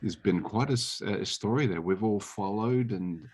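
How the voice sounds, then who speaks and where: A man's voice: fairly low pitch, normal speed, darkish tone, breathy edge. A man hosting an interview, asking friendly questions and stumbling over repeated words now and then. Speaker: interviewer host.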